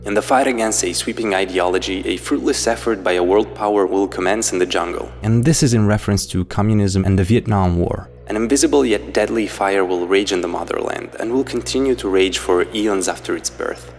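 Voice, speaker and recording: Low voiced guy narrating a breakdown video, explains stuff to viewers, music behind him. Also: vocal fry. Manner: explanatory, addresses viewers directly. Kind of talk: scripted analysis narration with read-out quotes.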